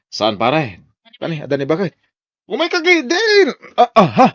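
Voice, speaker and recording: Man acting a hard-drinking Ilocano husband, wildly swinging pitch. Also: huge pitch swings, normal speed, fairly bright tone, no echo, muffled recording, full character voice. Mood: boisterous. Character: hard-drinking husband, boisterous with friends, belligerent drunk, sheepishly apologetic. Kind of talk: audio drama acting.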